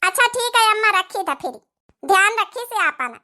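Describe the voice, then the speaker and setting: An extremely high, bright cartoon voice from a woman actor plays a young married village woman with an Awadhi accent. She is talkative and caring, stretching out her rustic phrases like a fussing daughter in a comedy. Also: animated, normal speed, full character voice. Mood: chatty, affectionate.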